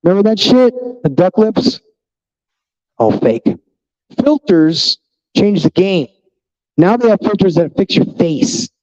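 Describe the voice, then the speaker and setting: A man's voice: sits high, animated, slow, long pauses, darkish tone, dull audio. A fifty-year-old man talking bluntly and profanely to his viewers in a casual vlog monologue. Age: 50s. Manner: talks straight to viewers. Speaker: vlogger.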